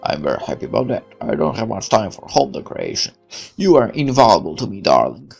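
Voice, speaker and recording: A man voicing a doting but commanding middle-aged military father in a visual novel, measured and firm. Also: fairly low pitch, huge pitch swings, vocal fry, soft background music, heavily acted. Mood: doting, indulgent.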